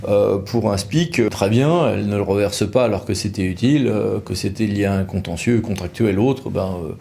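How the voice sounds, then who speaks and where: A man's voice: fairly low pitch, moderate pace, creaky, mellow, a little hiss or hum. A man presenting as a legal expert, explaining in an informal, conversational way with wry, colloquial asides. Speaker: legal expert from a law firm presenting a video.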